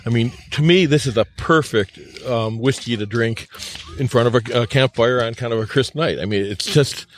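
Halting, stammering man hosting a casual chat. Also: mid-range voice, a little hiss or hum. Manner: halting, stammering, repeats words. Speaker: show host. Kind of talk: casual round-table chat. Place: outdoors by a bonfire.

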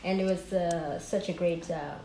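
A woman's voice: lowish voice, moderate pace. A Somali American woman who directs an NGO, telling her story in an interview with frequent fillers. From Somaliland, raised in the United States. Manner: animated, warm storytelling with many fillers. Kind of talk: conversational interview. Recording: echoey room with faint noise.

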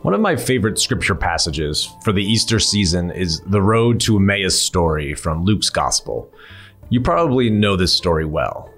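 A man hosting a faith podcast, reading a calm, earnest scripted introduction and then interviewing a guest. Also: deep voice, moderate pace, soft background music. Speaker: podcast host.

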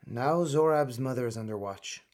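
An actor playing a stern older army colonel in a radio play, measured and authoritative, cold and guarded. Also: male voice, medium pitch, a little mellow, lightly acted.

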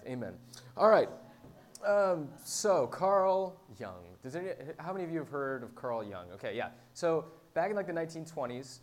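A man preaching in an informal, conversational style as a pastor, with a self-deprecating, humorous manner. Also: mid-range voice. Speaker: pastor preaching to a small church. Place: live talk to a small congregation. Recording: clear background noise with hum.

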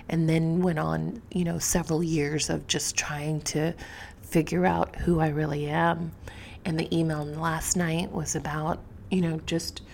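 A woman hosts a solo podcast in a low, very gravelly voice that often thins toward a near-whisper. She sounds slightly sniffly and speaks candidly and reflectively, straight to the listener. There is faint rumbling noise under her close-miked voice. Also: a little mellow. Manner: confessional, candid, informal with some swearing. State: sniffly from allergies. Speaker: podcast host. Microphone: close podcast microphone.

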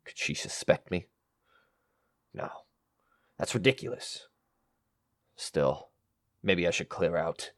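An actor playing a greedy, short-tempered schemer in a melodramatic radio play, brooding and domineering. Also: male voice, deep voice, lively intonation, slow pace, pauses often. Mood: scheming, frustrated. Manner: brooding, muttering to himself, domineering. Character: scheming, greedy, short-tempered man hunting a hidden inheritance.

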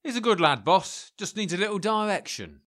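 An actor playing a loyal gangster's henchman in a dark comedy crime drama, deferential, soothing and matter-of-fact. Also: high-pitched, sing-song, brisk.

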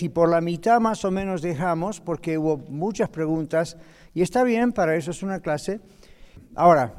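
A man leads a church Bible class, chatting with the room and pausing to ask if everyone follows before he reads the passage aloud. His voice sits fairly high yet sounds mellow, and a long hall reverb trails behind each phrase. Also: clear, resonant tone. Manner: conversational, checks in with "¿ok?". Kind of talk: Bible class teaching and scripture reading.

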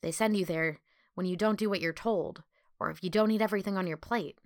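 A woman's voice: lowish voice, fairly quick, dry recording, clean studio sound, close to an everyday voice. Woman playing a frightened young institutionalized boy. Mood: fearful. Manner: cautious, hushed warnings.

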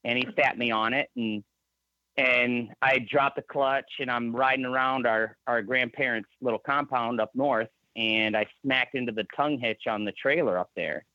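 A man's voice: medium pitch, fairly even intonation, normal speed, no echo, dull audio. A man in his thirties with a Michigan accent, a guest telling his story in a rambling, casual way. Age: 30s. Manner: rambling, restarts often. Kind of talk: casual interview.

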